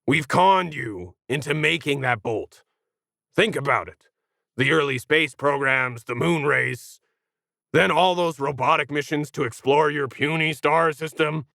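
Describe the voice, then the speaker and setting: A man performs a brash alien for a sci-fi storybook, snarky and slangy. The voice has some gravelly creak and sits close and dry, full of condescension. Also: on the high side, measured pace, pushed and bright, no echo, exaggerated voice. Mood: mocking. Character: brash sarcastic tentacled alien, condescending and fast-talking. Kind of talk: acted sci-fi storybook dialogue.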